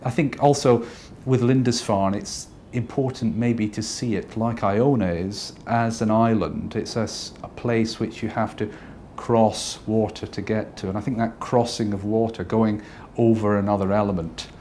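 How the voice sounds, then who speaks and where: A man from Scotland who is a painter, talking thoughtfully and unhurriedly about his work, with pauses and fillers. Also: on the low side, steady tone, dark tone, a little hiss or hum.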